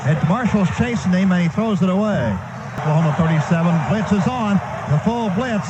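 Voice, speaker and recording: A man's voice: high voice, brisk, highs cut off. A man doing live radio play-by-play of a football game, describing the action in short clipped phrases. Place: stadium broadcast booth. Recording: noisy live stadium radio broadcast.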